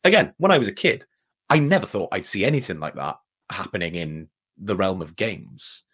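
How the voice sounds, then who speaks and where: Man, lowish pitch, interview guest, casual enthusiastic chat. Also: sing-song, normal speed, a bit bright, breathy edge. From Wales.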